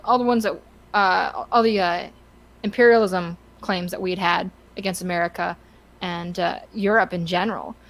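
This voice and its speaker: A woman guest on a casual podcast, talking in halting, self-repeating phrases. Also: mid-range voice, a bit bright, low-quality recording.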